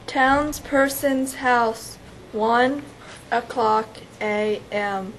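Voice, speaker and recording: A woman's voice: fairly high pitch, drawn-out words, slight background noise, poor audio. A young teenage girl narrating scripted scene announcements. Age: young teen.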